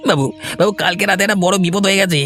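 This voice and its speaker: A man's voice: sits high, fairly even intonation, very fast, a bit bright, faint music behind. A man voicing a greedy, scheming servant in a cartoon, sly and wheedling. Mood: feigned alarm. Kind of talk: cartoon voice acting.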